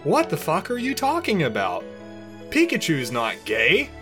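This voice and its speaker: A man playing a hot-tempered boy monster trainer from a cartoon, indignant and exasperated, often shouting. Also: a little high, big pitch swings, normal speed, over music, pitched above the performer's own voice.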